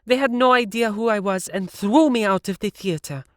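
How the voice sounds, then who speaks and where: A man's voice: sits high, moderate pace, fairly bright tone, no echo, clean studio sound, pitched above the performer's own voice. A man reading aloud and voicing a hot-tempered, blunt rich young woman. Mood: indignant. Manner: blunt, indignant, demanding.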